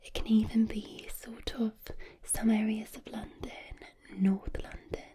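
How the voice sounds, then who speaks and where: Slow, whispering woman doing ASMR. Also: fairly high pitch, little pitch movement, dark tone. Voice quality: breathy whisper. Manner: slow, soothing, deliberate. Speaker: ASMR creator.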